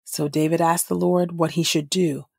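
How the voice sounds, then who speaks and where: Female reader for audio Bible: lowish, husky voice, measured delivery, dry close sound. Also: level tone, a little mellow, dry recording. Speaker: podcast host, scripture reader. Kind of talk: scripted audio Bible reading.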